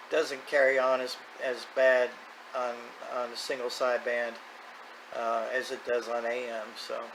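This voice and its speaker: A man's voice: mid-range voice, a bit bright, rough recording. Middle-aged man, casual talk over background noise. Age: middle-aged or older. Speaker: hobby radio video host. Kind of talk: casual unscripted vlog monologue. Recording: clear background noise, ambient sound.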